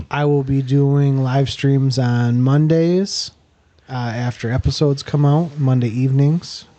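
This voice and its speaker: Host: mellow, warm-toned man, halting delivery with frequent uhs. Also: mid-range voice, fairly even intonation, lo-fi sound. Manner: hesitant, many uhs and restarts. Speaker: podcast host. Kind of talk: casual chat, running the intro.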